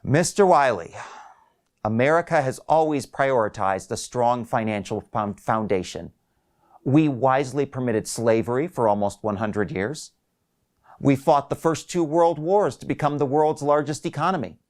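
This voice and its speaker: A man's voice: medium pitch, normal speed, darkish tone, voice pushed up, lightly acted. A man voicing a detached, officious female call agent who speaks in formal, bureaucratic phrases in a short story. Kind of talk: acted short story dialogue.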